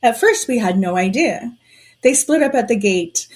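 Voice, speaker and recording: A woman playing a shrewd, suspicious conspirator in a period audio drama, telling things in a knowing, deliberate way. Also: a little high, lively intonation, darkish tone.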